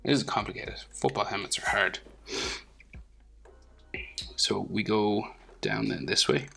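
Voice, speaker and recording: Gravelly man with a head cold. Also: on the low side, level tone, unhurried, sharp tone. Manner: thinking aloud, hesitant, many fillers, drops to near whispers. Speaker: drawing tutor. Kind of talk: casual drawing commentary.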